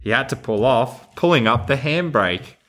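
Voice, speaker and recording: A man's voice: mid-range voice, animated, moderate pace, fairly bright tone. An Australian man who hosts a podcast, talking casually and reading a children's storybook in a playful, encouraging way. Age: adult. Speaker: podcast host.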